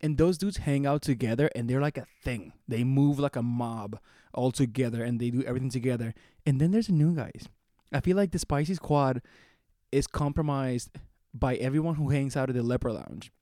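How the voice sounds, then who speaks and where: A man in his late twenties co-hosting a podcast, chatting casually and excitably, laughing and swearing often. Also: mid-range voice, a little fast, dry recording, clean studio sound. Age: 20s. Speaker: podcast co-host. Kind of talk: casual chat.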